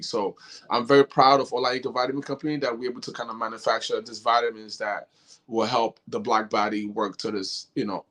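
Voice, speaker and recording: A man's voice: mid-range voice, fairly bright tone. A middle-aged man from Nigeria, a company head answering interview questions in an earnest, explanatory way with many fillers and restarts. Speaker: company CEO, interview guest. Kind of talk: casual interview talk.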